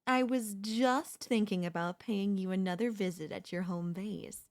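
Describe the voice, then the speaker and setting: A woman's voice: mid-range voice, natural voice. A woman voicing a chatty, teasing zombie in a comedic audio roleplay, talking casually and playfully straight to the listener. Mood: teasing, persuasive. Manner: casual, playful, taunting, slangy, drawn-out delivery. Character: chatty, teasing, cocky female zombie hunting a human survivor.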